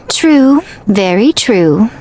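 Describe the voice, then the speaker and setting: A woman's voice: a little high, very animated, very slow, slight background noise, full character voice. A woman playing a sly, elegant, mysterious lady in a video game, with a playful, teasing drawl. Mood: coy.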